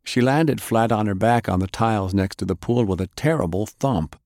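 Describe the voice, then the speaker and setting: A man with a deep voice narrates an action tale as a scripted audiobook. The sound is dry, with hardly any room around him. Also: normal speed, a little mellow, no echo.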